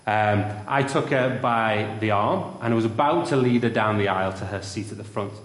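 Young male preacher, loud carrying voice, lowish pitch, roomy church reverb. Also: moderate pace. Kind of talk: sermon with story. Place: church hall.

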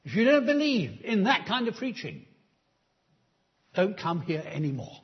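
A man preaches slowly and emphatically, his fairly high voice rising and falling widely as he builds his appeals. The tone is a little husky. The recording is dull and lo-fi, as if taken in a church. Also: huge pitch swings. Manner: slow, emphatic pulpit delivery with rising appeals. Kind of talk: sermon.

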